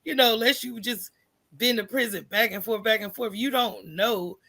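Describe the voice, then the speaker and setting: A woman's voice: a little high, moderate pace, gravelly. An American woman talking candidly as a podcast guest, acting out remembered dialogue and checking in with her listener as she goes. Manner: animated, acts out remembered dialogue, often asks "right?". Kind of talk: candid conversational storytelling.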